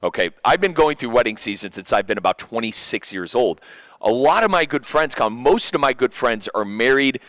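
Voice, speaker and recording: A thirty-year-old man with a mid-pitched voice talks casually as a sports radio host. He is animated and often doubles back on his words mid-sentence. The sound is dry and close. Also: fairly quick, dry recording. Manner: animated, repeats and stumbles over phrases. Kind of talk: casual radio chat, personal.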